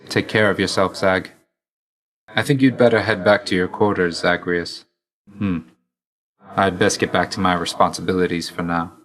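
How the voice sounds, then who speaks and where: An actor playing a reserved, dutiful god of death in a video game, speaking in a measured, formal, understated way. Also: male voice, sits low, a bit bright. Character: male god of death, reserved, dutiful, weary, quietly fond. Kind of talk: video game character voice lines.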